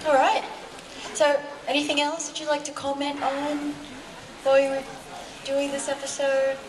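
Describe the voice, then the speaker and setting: A woman's voice: high-pitched, completely flat delivery, normal speed. A woman casually moderating a live panel and asking questions. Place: convention panel room with audience. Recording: echoey room with crowd.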